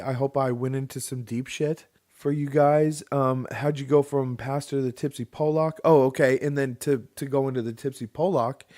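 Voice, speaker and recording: A man's voice: medium pitch, a little mellow. Rambling, frank man hosting a podcast. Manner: rambling, frank, with mild swearing. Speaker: podcast host. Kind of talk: casual podcast chat.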